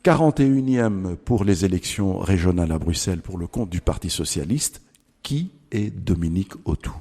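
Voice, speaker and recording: Deep, dark-voiced radio interviewer. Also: male voice, animated, moderate pace, in a large hall. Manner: announces candidate's name and rank before each question.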